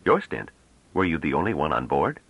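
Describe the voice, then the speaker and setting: A man's voice: rumbling low, very animated, brisk, breathy edge, no echo, lo-fi sound, heavily acted. A man reading an audiobook, voicing a suspicious, impatient younger man who speaks in a curt, demanding way. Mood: brusque.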